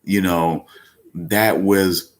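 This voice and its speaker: Very deep-voiced man, rambling podcast talk. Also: fairly even intonation, drawn-out words. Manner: rambling, with many fillers and restarts; speaks up when emphatic. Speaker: podcast host. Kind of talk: casual live-stream talk.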